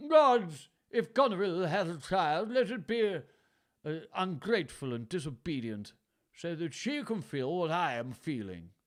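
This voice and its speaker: A man's voice: sits high, very animated, slow, voice pushed up, exaggerated voice. A man voicing a proud old king who whines and rages, read aloud from a storybook. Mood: raging.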